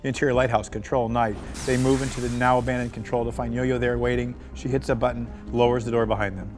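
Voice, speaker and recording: A man's voice: mid-range voice, flat delivery, quick pace, music in the background. A man reading screenplay directions aloud as narrator at a table read.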